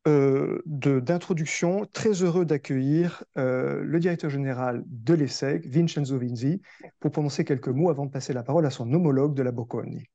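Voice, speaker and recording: A man's voice: medium pitch, mellow. A man formally welcoming an audience and introducing speakers as the director hosting a seminar.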